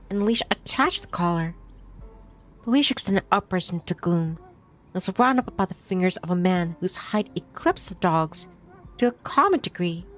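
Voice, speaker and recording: Woman narrating a story, music behind. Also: medium pitch. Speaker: streamer reading a visual novel aloud. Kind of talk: expressive read-aloud narration of a story.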